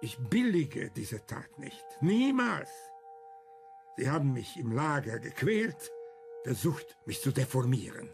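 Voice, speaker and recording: Older male survivor, radio drama, slow deliberate pace, gravelly, bitter, emphatic, principled. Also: mid-range voice, very animated, faint music behind, full character voice. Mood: indignant. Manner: emphatic, argumentative, rhetorical questions. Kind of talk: German radio crime drama acting.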